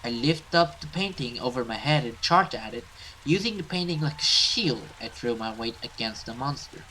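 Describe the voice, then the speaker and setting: A man's voice: medium pitch, animated, normal speed, bright, forceful tone, a little hiss or hum, poor audio. A man hosting a let's play, reading a horror visual novel aloud and stumbling over some words as he goes.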